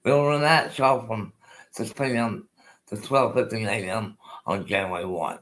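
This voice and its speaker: A man's voice: on the low side, moderate pace, fairly bright tone, a little hiss or hum. A man in his sixties with no teeth, so his speech is unclear at times, chatting in a rambling way as host of his own live stream. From Colorado, USA. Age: 60s. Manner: rambling, repeats himself, restarts often. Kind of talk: casual live-stream chat.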